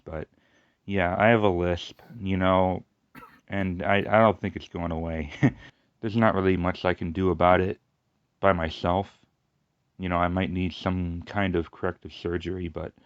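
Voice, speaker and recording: A man with a lateral lisp, rambling through a casual personal monologue with halting restarts and fillers. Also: deep voice, no room sound, highs cut off. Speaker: YouTuber.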